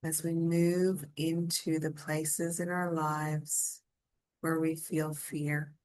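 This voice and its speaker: A woman leading a guided meditation in a soothing, gentle and inviting manner. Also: deep voice, little pitch movement, takes it slowly.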